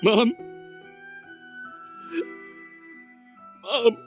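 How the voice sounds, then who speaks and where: A man playing a desperate, grieving son who pleads haltingly with his mother in a dramatic video game scene. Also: very high-pitched, faint music behind. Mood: anguished, pleading.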